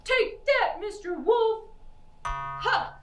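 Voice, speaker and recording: A woman's voice: very high-pitched, very animated, drawn-out words, full character voice. A woman voicing a proud, heroic, reassuring father in a children's puppet show. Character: hearty, heroic dad rescuing the day. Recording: roomy echo, distant mic, faint music.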